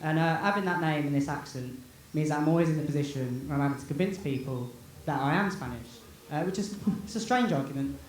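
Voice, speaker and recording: A man with a British accent doing stand-up at a comedy club, with dry, wry delivery. His voice sits a little high for a man. The room is very echoey, with noise in the background. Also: fast, few pauses. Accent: British English. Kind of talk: stand-up set.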